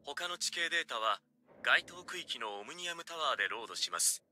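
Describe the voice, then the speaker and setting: An actor playing a small, polite, helpful robot caretaker in an anime game, speaking in formal, announcement-like phrases. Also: male voice, mid-range voice, sing-song, a little fast, sharp tone, slight background noise. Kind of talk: Japanese anime game dub acting. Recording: game music behind.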